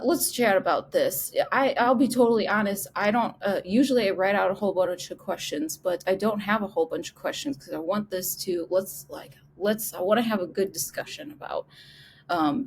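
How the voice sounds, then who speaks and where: A woman's voice: medium pitch, a little hiss or hum. Chatty woman host with a stuffy nose. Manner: chatty, rambling. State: nasal from a cold. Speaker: podcast host. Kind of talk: casual podcast interview.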